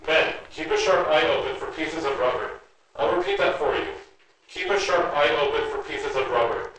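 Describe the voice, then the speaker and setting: A man's voice: a little high, wildly swooping pitch, moderate pace, a bit bright, a little hiss or hum. A man playing a sceptical, commanding air force general in a radio drama. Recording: echoey, distant from microphone.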